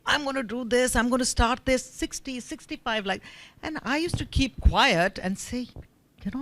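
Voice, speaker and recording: Woman from India, 50s, speaking from the floor, animated, lots of fillers. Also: a little high. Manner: animated storytelling, frequent fillers. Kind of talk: spontaneous personal story.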